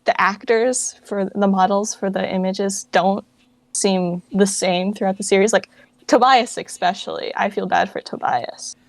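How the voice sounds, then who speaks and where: A woman's voice: medium pitch, steady tone, unhurried, creaky, no echo. A young woman in her late teens chatting casually and informally as a guest in an interview. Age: late teens, young adult. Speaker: interview guest, fan project founder.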